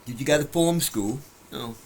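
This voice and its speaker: A man's voice: mid-range voice, normal speed, a little hiss or hum, rough recording. A man lecturing bluntly from his couch in a rambling monologue, breaking off words and laughing at himself. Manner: lecturing, blunt, rambling, trails off mid-word. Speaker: vlogger. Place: home, on a couch.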